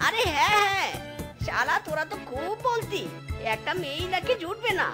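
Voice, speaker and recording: A woman voicing an excitable, boastful young male fox in a cartoon, whiny and full of swaggering exclamations. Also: extremely high pitch, moderate pace, over music. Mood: bragging. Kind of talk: exaggerated Bangla cartoon acting.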